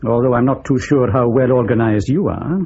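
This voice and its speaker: An actor playing a wry, sceptical British intelligence officer in a radio drama. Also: male voice, mid-range voice, brisk, vocal fry, warm tone, slightly rough, dull audio. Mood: sceptical, dry.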